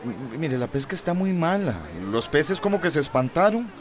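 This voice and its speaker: A man's voice: fairly high pitch, lively intonation, normal speed, lightly acted. An actor playing a polite, earnest fisherman with a Latin American accent in a radio soap opera. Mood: apologetic. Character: honest, patient young fisherman selling his catch. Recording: busy market crowd behind voice.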